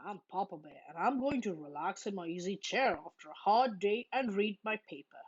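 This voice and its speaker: A woman's voice: medium pitch, lively intonation, moderate pace, husky, muffled recording. A woman voicing an outraged, exclaiming Papa Bear from a children's storybook. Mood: settling in. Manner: exclaiming, melodramatic. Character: bear cub playing a melodramatic, outraged Papa Bear.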